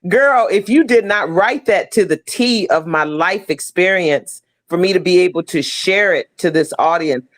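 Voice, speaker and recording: A woman's voice: fairly low pitch, normal speed, few pauses. A middle-aged woman speaking casually and effusively as an interview guest on a video call, stressing her points. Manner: emphatic, effusive. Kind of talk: casual heartfelt interview talk.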